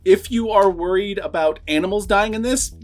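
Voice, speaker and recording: A man's voice: sits high, moderate pace, soft background music. A man hosting a casual movie review vlog, talking in a rambling, conversational, lightly profane way.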